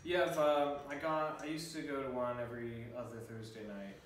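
A man's voice: mid-range voice, flat delivery, moderate pace, a little hiss or hum. Young guy chilling on a chair, rambly "like... word" talk, far off mic, super echoey room. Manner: laid-back, loose with many "like" and "word". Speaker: guest. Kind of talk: casual conversation. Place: living room indoors. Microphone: far camera.

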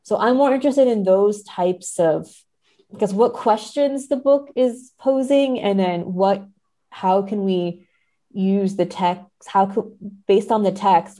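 Woman academic guest for a podcast interview, lowish mellow voice, thoughtful and careful in explanation. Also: vocal fry. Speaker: podcast guest, literary scholar.

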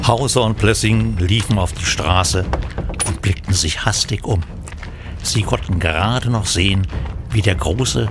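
A man's voice: deep voice, noisy background. A man narrating a period crime adventure as a third-person storyteller in a scripted audio drama.

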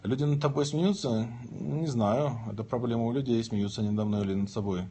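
A man's voice: mid-range voice, brisk, mellow. A man in his thirties films himself on a phone held at arm's length while he lies in bed. His voice sits mid-range, and he reads viewers' questions aloud before answering them with jokes. A faint noise runs under the phone recording. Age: 30s. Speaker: livestream host. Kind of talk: casual livestream chat reading viewer questions. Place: lying in bed indoors.

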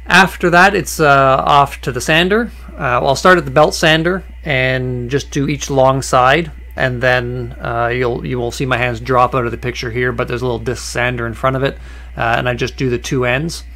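A man explaining a woodworking project in a relaxed, conversational voice-over, with frequent "uh" fillers and small restarts. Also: mid-range voice, moderate pace. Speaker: woodworking channel host. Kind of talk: voice-over narration of a workshop project.

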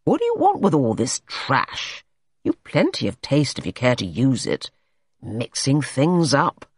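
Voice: medium pitch, huge pitch swings, a little slow, slightly rough, no room sound, clean studio sound, full character voice. A reader voicing an irritable, domineering male painter in an audiobook story, blunt and scolding. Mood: irritated, critical.

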